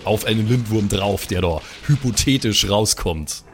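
Gravelly man, bossy tabletop player. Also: lowish voice, moderate pace, a little hiss or hum. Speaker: player in a tabletop role-playing podcast. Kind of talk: improvised in-character tabletop play and banter.

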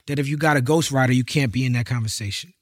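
A New man in his forties hosting an interview podcast, relaxed and slangy with brief affirmations. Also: medium pitch, fast, no room sound. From New York. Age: 40s. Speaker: podcast host. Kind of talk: casual interview chat.

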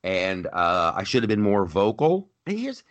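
A man co-hosting a podcast, in animated political chat, often repeating himself and mocking others in put-on voices. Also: fairly low pitch, a little slow. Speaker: podcast co-host.

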